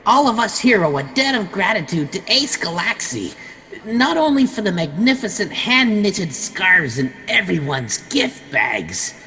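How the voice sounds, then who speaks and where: An enthusiastic conference host acted by a woman in a satirical space audio drama. The voice is bright and forceful with animated swings. Faint noise runs under the call audio. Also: medium pitch, a little slow. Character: enthusiastic female conference emcee introducing speakers. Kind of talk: formal stage announcing in satire audio drama. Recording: slightly noisy video-call audio.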